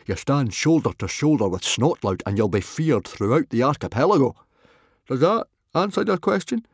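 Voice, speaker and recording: Big dumb hearty viking dad for a kids story, loud blustery husky voice, pitch jumping all over. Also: male voice, big pitch swings, moderate pace, no room sound, clean studio sound. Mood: hearty, urging. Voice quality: booming, husky. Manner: roaring, bellowing, blustering. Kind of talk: children's audiobook character voice.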